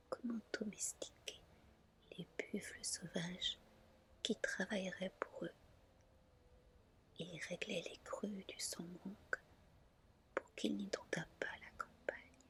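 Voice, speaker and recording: A woman reading a story aloud as ASMR in a breathy whisper, soft, gentle and unhurried. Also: medium pitch.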